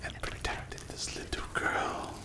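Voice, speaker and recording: A performer voicing a mocking, cruelly amused demon in a breathy whispered ASMR dark fantasy roleplay. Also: lightly acted. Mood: mocking, ominous. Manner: slow, taunting, hushed storytelling. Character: mocking sleep paralysis demon, ancient, cruelly amused watcher.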